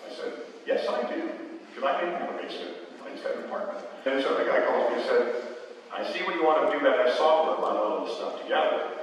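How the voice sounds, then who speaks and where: A man's voice: medium pitch, big pitch swings, fast. A man giving an informal public lecture as a guest speaker, recorded in a hall. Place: lecture hall, distant microphone. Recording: echoey hall from far off, faint noise.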